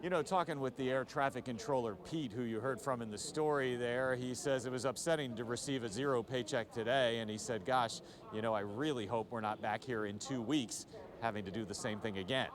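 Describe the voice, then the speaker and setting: A man's voice: mid-range voice, brisk, a crowd in the background. An American man reporting for TV news as a field reporter, narrating the story over background ambience.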